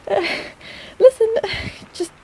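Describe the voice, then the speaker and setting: A woman playing a caring, teasing, easily flustered apothecary shopkeeper who rambles in an intimate audio roleplay addressed to the listener. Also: lightly acted. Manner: chatty and rambling, halting, gently teasing.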